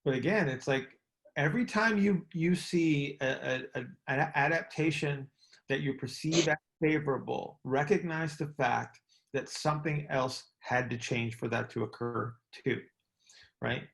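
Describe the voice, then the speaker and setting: A man's voice: mid-range voice, creaky, a bit bright, low-quality recording. A man explaining things informally on a group call as host and coach, often repeating his words and checking for agreement. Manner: halting, repeats words, asks checking questions.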